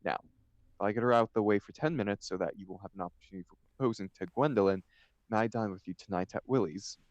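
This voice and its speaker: A man voicing a flippant, witty young gentleman dandy, airy and theatrical, in a stage comedy table read. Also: fairly low pitch, fast, no room sound, highs cut off, natural voice. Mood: breezy, witty.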